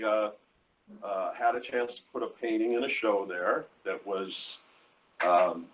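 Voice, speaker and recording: Older guy w a deep voice rambling thru old stories, halting, dull live stream sound, bit of room noise. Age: 60s or older. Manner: rambling, halting anecdotes with quoted dialogue. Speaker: artist being interviewed. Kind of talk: casual storytelling at a live event. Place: hotel event space.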